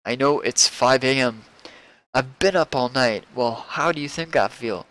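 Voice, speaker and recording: A man's voice: mid-range voice, measured pace, a bit bright, natural voice, rough recording. A man playing an angry, neurotic television writer who rants indignantly and trips over his words, comic-book style. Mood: irritated. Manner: indignant, sputtering. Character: angry, neurotic male television writer, ranting and self-pitying.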